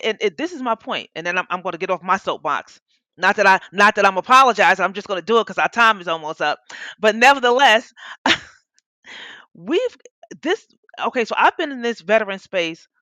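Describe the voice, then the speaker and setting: A woman with a sharp, forceful voice makes an impassioned case as a panelist. She is blunt and emphatic, repeating phrases for weight. The audio is slightly dull and very dry. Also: mid-range voice, no echo. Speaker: panelist, women veterans advocate. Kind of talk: impassioned conversational advocacy.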